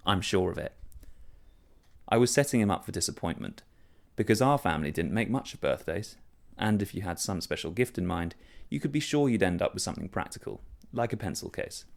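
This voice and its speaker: A man's voice: low-pitched, lively intonation, brisk, creaky. A man reading a first-person literary short story as scripted narration.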